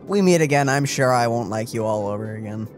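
Casting: man, let's play co-host, joking and blunt, medium pitch, casual delivery, soft game music behind. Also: animated, a little fast. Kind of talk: casual reactions to a video game.

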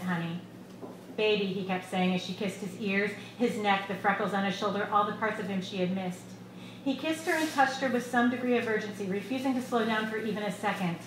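A middle-aged woman, an author reading her short story aloud into a stand microphone at a live literary reading. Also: on the high side. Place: small bar room, live reading. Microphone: microphone on a stand, close. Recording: echoey room, clear background noise.

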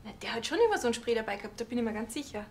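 A woman's voice: a little high, racing, slight background noise, natural voice. An actress playing a chatty, assured female singer in a TV crime comedy. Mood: assured, helpful.

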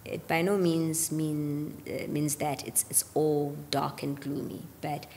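Low-voiced gravelly woman, earnest. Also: little pitch movement, slow pace, a little mellow, in a large hall, a little hiss or hum. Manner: earnest, drops to near-whisper on key points. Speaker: podcast guest, gospel singer. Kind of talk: reflective faith conversation. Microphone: studio mic on boom arm.

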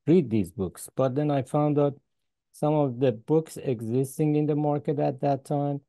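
Older Iranian man, dark mellow voice. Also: fairly high pitch, no room sound, studio quality. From Iran. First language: Farsi. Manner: halting, many "uh" pauses, chuckles between points. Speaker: guest professor. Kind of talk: interview answers. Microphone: video call with earbuds.

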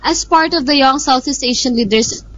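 A woman's voice: sits high, moderate pace, a bit bright. A young woman from the Philippines with a Filipino accent, explaining things as an interview guest. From Manila, Philippines. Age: young adult. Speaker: guest, youth activist. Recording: dull, lo-fi remote connection.

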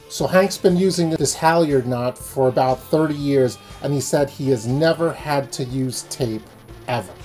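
A man's voice: medium pitch, a little mellow. A man explaining things as a sailing channel host in a how-to vlog. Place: small indoor room.

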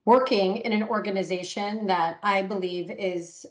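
A woman's voice: medium pitch, steady tone, measured pace. A middle-aged woman leading a panel discussion on a call, affirming and conversational. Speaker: podcast host and panel lead.

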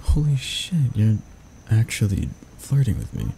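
A gravelly, frying voice gives us a grumpy barista with a soft spot , played by a man. He is flustered and flirty, halting and teasing as in a romance audio roleplay. His tone is very dark and relaxed, with noticeable noise behind it. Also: lowish voice, full character voice, rough recording. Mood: stunned, rambling. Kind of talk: romantic ASMR roleplay acting.

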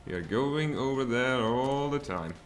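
A man in his thirties with a Czech accent, streaming a strategy game from home in lively, muttered commentary with sudden outbursts. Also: medium pitch, measured pace, soft background music. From Czech Republic. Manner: animated, muttering asides, sudden exclamations. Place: home room, indoors.

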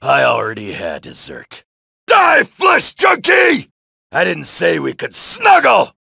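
A gravelly, shouting zombie-killing soldier. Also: male voice, a little high, huge pitch swings, slow, dry recording, heavily acted. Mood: taunting. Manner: shouted taunts and one-liners. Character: brash, wisecracking male soldier blasting zombies. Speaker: video game soldier character. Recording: dry studio voice lines.

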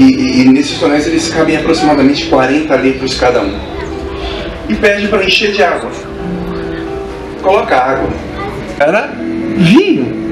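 A man's voice: a little high, background noise. A man officiating a wedding, preaching with emphatic, rising build-ups into a handheld mic. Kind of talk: wedding sermon. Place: large wedding tent, partly open. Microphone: handheld mic, recorded by far camera. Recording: echoey, distant, music behind.

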